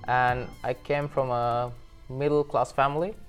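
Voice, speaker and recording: Young Sri man in his twenties, earnest interview guest, mid pitch, soft background music. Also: little pitch movement, a little slow. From Sri Lanka. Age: 20s. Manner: earnest, agreeable, with many short yeses and some hesitant ums. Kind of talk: casual interview answers.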